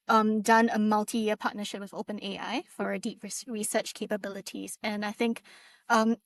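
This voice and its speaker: A woman's voice: mid-range voice, no echo, studio quality. A woman with a Singaporean accent speaking on a casual industry panel, informative and eager. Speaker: panelist on content team.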